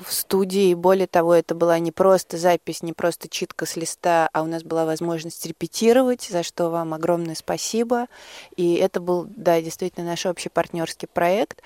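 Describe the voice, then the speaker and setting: A woman with a fairly low voice talks as a studio guest. She speaks off the cuff, pausing and drawing words out as she searches for the next phrase. The sound is close and dry. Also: darkish tone, dry recording. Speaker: guest, project organiser. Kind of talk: unscripted radio conversation.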